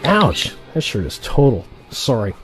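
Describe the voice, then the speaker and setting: A man playing an excitable, cocky younger assassin in an audio play, talking in an animated, wheedling, sarcastic way. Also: medium pitch, a little slow, over music. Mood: apologetic, chatty. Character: excitable, cocky younger assassin, male trainee turned rival.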